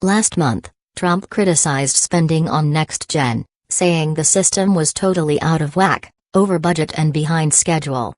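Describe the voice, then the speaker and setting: A woman with a low voice reads a news story in a steady, even, scripted way. There are no fillers or slips. The sound is dry and close. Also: a little slow, hardly any pauses, no room sound. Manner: steady, uniform read with no fillers. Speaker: news reader.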